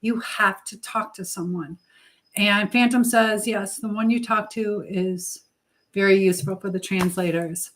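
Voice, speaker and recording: A woman hosting a live chat, speaking earnestly and haltingly with pauses as she gives advice and encouragement to viewers. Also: medium pitch, fairly even intonation, low-quality recording. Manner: religious encouragement. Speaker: live stream host.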